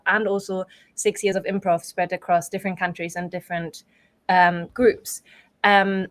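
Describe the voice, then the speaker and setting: A woman from Europe speaking as an interview guest, explaining things conversationally in a thoughtful, qualified way. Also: medium pitch. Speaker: guest, improv theatre performer and organiser.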